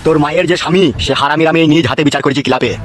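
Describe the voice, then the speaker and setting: Cartoon villain for a man to play: swaggering male local goon, fast delivery, leering and threatening. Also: fairly high pitch, over music, natural voice. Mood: menacing. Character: lecherous, menacing male neighbourhood thug, boastful. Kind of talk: comedy cartoon villain dialogue.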